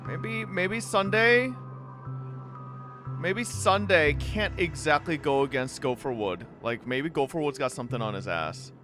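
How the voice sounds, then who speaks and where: A man's voice: fairly high pitch, animated, measured pace. A young man reacting casually to a game on stream, crude, sweary and joking. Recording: game music behind.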